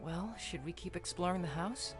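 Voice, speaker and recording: A woman's voice: fairly low pitch, lively intonation, normal speed, over loud music, lightly acted. A woman voicing a timid, flustered young man in a mystery visual novel, with a hesitant manner. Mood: uneasy.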